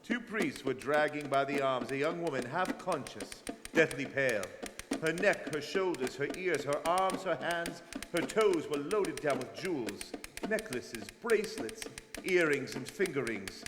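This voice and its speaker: An actor playing a grave detective who recounts a journey in formal, suspenseful radio drama narration. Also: male voice, a little high, animated, measured pace, music in the background. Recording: reverberant hall with faint noise.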